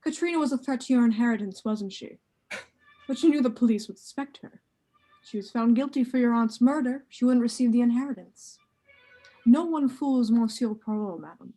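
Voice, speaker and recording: A woman playing a courteous, confident male detective in an amateur radio play, speaking in a measured, formal way. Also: on the high side, mellow, faint music behind, natural voice, rough recording. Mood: shrewd, confident.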